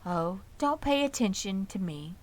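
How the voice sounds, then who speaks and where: Storybook casting: ageing female spider played by a woman, gentle, dignified and tired, articulate, unhurried, medium pitch. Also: expressive. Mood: sad, weary. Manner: composed, articulate, slightly formal.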